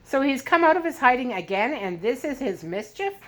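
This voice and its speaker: A woman's voice: high-pitched, very animated, brisk, fairly bright tone, voice pushed up, full character voice. A woman voicing a haughty, selfish male president in a storybook, pompous and impatient. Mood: disturbed. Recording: clear background noise.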